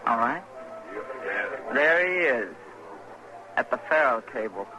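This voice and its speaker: A woman's voice: rumbling low, animated, slow, over loud music, full character voice. An actress playing a sly, greedy frontier saloon woman in an old-time radio western. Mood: coy, calculating. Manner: sly, bargaining, dramatic delivery. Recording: noisy vintage radio drama recording.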